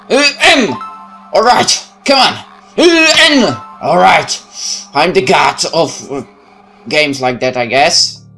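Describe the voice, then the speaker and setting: A male gaming host reads a horror visual novel aloud. His delivery is dramatic and very animated, prone to exclaiming, over a bed of soft music. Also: on the high side. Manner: dramatic, expressive, often exclaiming. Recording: music behind the voice.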